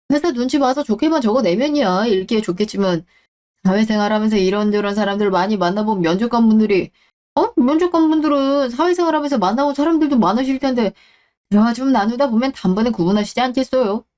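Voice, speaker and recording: A woman's voice: fairly high pitch, darkish tone, natural voice. A woman voicing a scheming middle-aged man in a radio drama, muttering to himself and acting falsely friendly. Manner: smug, muttering to himself, then fake-friendly.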